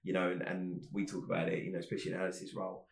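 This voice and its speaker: A man's voice: low voice, completely flat delivery, racing, vocal fry. A man, a food director and guest, chatting casually on a podcast, rambling with lots of fillers. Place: office. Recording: roomy echo.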